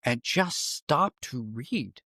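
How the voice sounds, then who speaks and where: A middle-aged or older American man explaining ideas in a conversational interview, thoughtful and halting, often restarting his phrases. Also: mid-range voice, animated, sharp tone, no echo, studio quality. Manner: thoughtful, halting with repeated false starts. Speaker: podcast guest, writer and dramatist.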